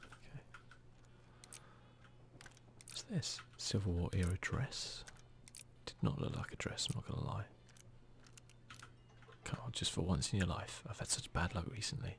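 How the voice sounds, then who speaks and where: A man with a low, gravelly voice, speaking softly and often close to a whisper. He gives casual, muttered ASMR game commentary with a self-deprecating touch, over faint background noise. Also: lively intonation, unhurried, long pauses. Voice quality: soft, breathy, often near a whisper. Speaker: gaming video host.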